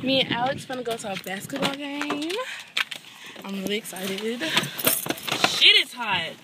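A woman's voice: fairly high pitch, expressive, a little slow, very noisy. A young woman vlogging about a college visit in a chatty way, filled with "like," filmed on a camera held at arm's length in a car and dorm rooms. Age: young adult. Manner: chatty, fills talk with "like". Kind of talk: casual vlog chat. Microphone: handheld camera at arm's length. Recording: loud music behind.

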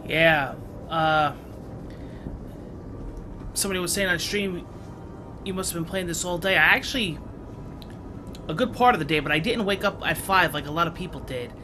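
A man streaming a video game with casual commentary and low-key reactions to himself, half muttered. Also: normal speed, long pauses. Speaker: gaming streamer. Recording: game music behind. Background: video game music.

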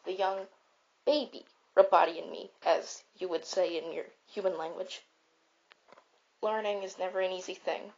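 In a dull lo-fi recording, a woman plays a gentle robot mentor giving comfort in an online roleplay. The voice is husky and mid-pitched. It moves in halting, repeated phrases, earnest and encouraging.

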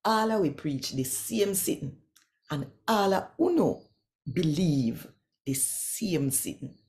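A Jamaican woman in her sixties giving an expressive, deliberate scripture reading in Patois as host. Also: low-pitched. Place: small quiet room indoors.

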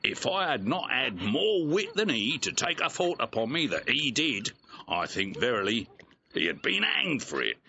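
An actor playing an earthy, aggrieved clownish servant in a classical comedy audio drama, rambling theatrically. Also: male voice, medium pitch, sing-song, moderate pace, vocal fry, bright, forceful tone, exaggerated voice. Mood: aggrieved, confiding. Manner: rambling, theatrical, conversational soliloquy with mimicked voices. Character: comic male clownish servant scolding his dog, aggrieved, earthy. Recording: dull, slightly noisy restored old recording.

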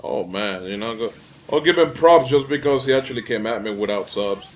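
A young man from the Dominican Republic commentates his own fighting-game matches in loose, profane talk. He sounds easily irritated and keeps ranting at his opponents. His voice sits in a middle pitch, with faint game music playing under it. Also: lively intonation, fairly quick. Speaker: gameplay commentator. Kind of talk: casual gaming commentary.

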